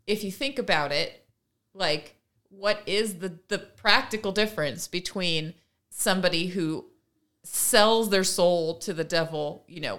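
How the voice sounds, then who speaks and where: A woman's voice: lowish voice, fairly bright tone. A woman co-hosting a casual discussion, talking in an earnest, emphatic way as she explains her points. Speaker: podcast co-host.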